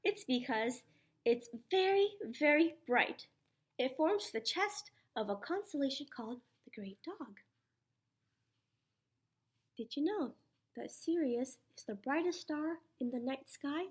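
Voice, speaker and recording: A woman preschool teacher reading a children's science picture book aloud, putting questions to her young listeners in an animated, encouraging way. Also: sits high, unhurried. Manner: child-directed, asks questions and answers them herself.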